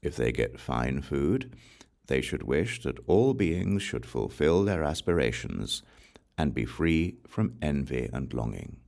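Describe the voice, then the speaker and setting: Very deep, slow-reading man with creaky voice. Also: very animated, a little mellow. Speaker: audiobook reader. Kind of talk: scripted reading of scripture.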